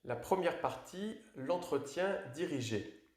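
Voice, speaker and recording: A man presenting an online French course, clearly explaining how an oral exam works. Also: fairly high pitch, animated, slow pace, reverberant. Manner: clear, instructive. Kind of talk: scripted exam tutorial narration.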